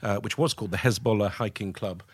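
A man's voice: on the low side, quick pace, breathy edge, dry recording. A man who grew up in Lebanon, hosting a casual chat, talking in an enthusiastic, rambling way with many restarts. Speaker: podcast host.

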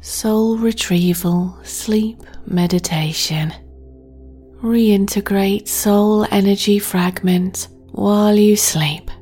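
A woman guiding a sleep meditation in a soft, breathy near-whisper, gently and with long pauses. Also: mid-range voice, fairly even intonation. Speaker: meditation guide and podcast host. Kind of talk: guided sleep meditation, soothing narration. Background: meditation music.

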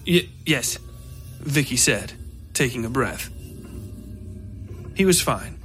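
A man's voice: medium pitch, lively intonation, slow pace, fairly bright tone, faint music behind. A man reading scripted horror fiction as the narrator of an audio story.

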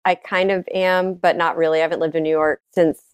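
Lowish-voiced Texas-raised woman, casual guest. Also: level tone, fairly quick, clear, resonant tone, no room sound, studio quality. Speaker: interview guest, gun violence prevention advocate. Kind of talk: conversational interview answers.

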